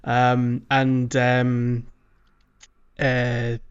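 A man co-hosting a casual podcast discussion, talking in a halting, rambling way and often repeating words. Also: medium pitch, completely flat delivery, smooth, highs cut off. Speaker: podcast co-host.

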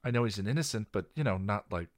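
A man's voice: lowish voice, expressive, fast, no echo, clean studio sound, pitched above the performer's own voice, natural voice. A man voicing a flustered young man who rambles in a slightly whiny way. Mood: hesitant.

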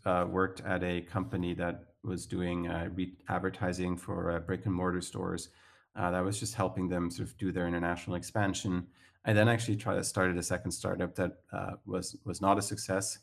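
Swiss guy in his 30s, super deep and kinda gravelly, lots of ums, interview guest. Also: little pitch movement, normal speed. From Switzerland. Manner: hesitant, many fillers and restarts. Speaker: guest, startup founder. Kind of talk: casual interview chat.

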